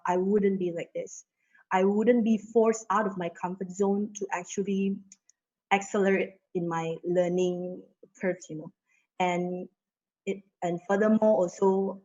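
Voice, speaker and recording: A woman's voice: lowish voice, a little mellow. Chatty young woman on a video call. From Indonesia. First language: Indonesian. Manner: chatty, filler-heavy with frequent "you know". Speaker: panelist. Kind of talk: casual panel talk. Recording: slightly muffled video call audio.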